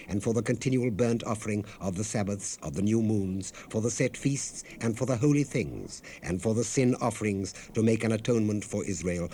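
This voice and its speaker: A man narrating scripture in a solemn, measured, deliberate scripted reading, heard on an unprocessed 1970s cassette rip. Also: on the low side, mellow, slight background noise.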